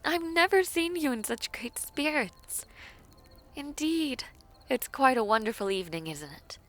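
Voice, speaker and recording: A woman playing a poised, teasing, affectionate villainess princess in a fantasy romance audio roleplay, with formal courtly phrasing and a breathy, often near-whispered delivery. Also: sits high, lively intonation, measured pace, fairly bright tone, faint music behind. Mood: gracious, sociable.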